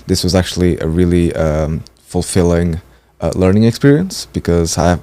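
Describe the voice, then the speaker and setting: A man's voice: low-pitched, moderate pace, warm tone, dry recording. A man in his twenties from Portugal, speaking fluently and thoughtfully in a casual conversation. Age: 20s. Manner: fluent and thoughtful, building longer sentences. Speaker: training participant.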